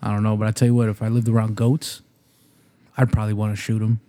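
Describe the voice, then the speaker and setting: A man's voice: lowish voice, flat delivery, fast talker, mellow. A man in casual podcast chat, teasing his friends and cracking jokes. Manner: teasing, joking.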